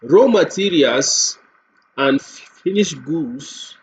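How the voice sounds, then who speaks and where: A man giving an unscripted screen-share software tutorial, explaining step by step and repeating points for emphasis. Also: fairly high pitch, expressive, drawn-out words. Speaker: software demo presenter. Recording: muffled lo-fi audio, clear background noise.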